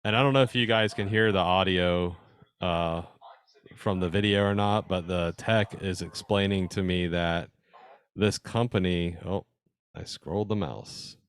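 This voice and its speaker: A man's voice: low-pitched, steady tone, measured pace, clean tone. A man giving an informal slideshow presentation to a radio club, explaining technical details in a conversational, interested way.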